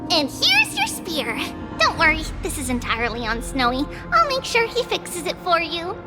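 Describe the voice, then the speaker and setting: A woman's voice: extremely high pitch, wildly swooping pitch, normal speed, full character voice. A woman voicing a bubbly, bossy young priestess in a game cutscene, cheerful and playful. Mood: teasing. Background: game music.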